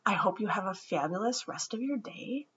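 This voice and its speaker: A woman reading a children's picture book aloud with expressive storytelling, then gently reflecting on it. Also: mid-range voice, moderate pace, slightly rough. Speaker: storybook reader. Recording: dull lo-fi recording.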